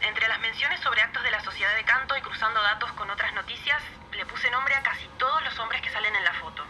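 An actress with a Rioplatense accent playing a businesslike, cautious woman researcher, speaking in a hurried way over a phone call in an audio drama. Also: a little high, sharp tone. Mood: hurried, confiding. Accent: Rioplatense Spanish. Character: Cristina, brisk, cautious woman researcher friend. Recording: phone call with clear ambient noise.

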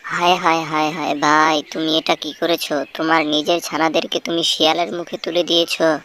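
Woman playing a kindly low-voiced cartoon peacock. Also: little pitch movement, moderate pace, fairly bright tone, slight background noise. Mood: dismayed, advising. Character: kindly, wise male peacock giving firm advice. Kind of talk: children's animated folk tale dialogue.

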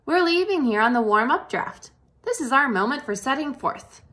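Storybook baby spiders, played by a woman: tiny, cheerful, very high and sing-song. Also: lively intonation, moderate pace, bright, forceful tone. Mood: calm, sing-song. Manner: small, sing-song, light voice. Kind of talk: storybook read-aloud.

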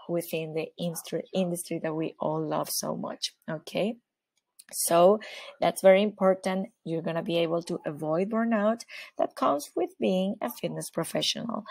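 A woman's voice: fairly low pitch, gravelly, a little mellow, no echo. Venezuelan woman coaching over slides. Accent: Venezuelan Spanish. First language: Spanish. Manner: encouraging, repeats "okay?", self-corrects. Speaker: fitness coach. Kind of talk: presenting a slideshow.

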